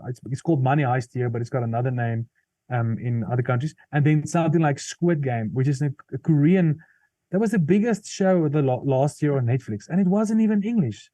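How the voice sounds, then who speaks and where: A man's voice: fairly high pitch, mellow, no room sound. A South African man with an Afrikaans accent, earnestly explaining his views in an interview, with frequent restarts. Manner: earnest, thoughtful, many restarts and fillers. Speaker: comedian and musician guest. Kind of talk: interview conversation.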